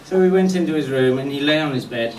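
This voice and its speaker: A middle-aged man giving a eulogy as a speech of humorous anecdotes, told in a wry, unhurried way. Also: on the high side, expressive, a little mellow. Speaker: speaker giving a memorial tribute. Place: indoor function venue at a golf club, before an audience. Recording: echoey room, distant mic, faint noise.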